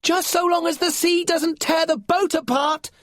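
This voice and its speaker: Man doing a high earnest boy voice, honest kid, a bit anxious, husky, storybook style. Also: expressive, takes it slowly, a little mellow, dry recording, clean studio sound, heavily acted. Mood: shouting. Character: young boy, earnest and truthful stranger.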